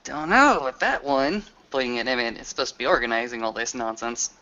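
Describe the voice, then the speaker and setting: A man with a bright, clear voice of middle pitch improvises in character at a roleplaying session. He feels his way through the exposition haltingly. The recording is slightly dull and lo-fi. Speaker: tabletop roleplay player. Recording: dull lo-fi audio.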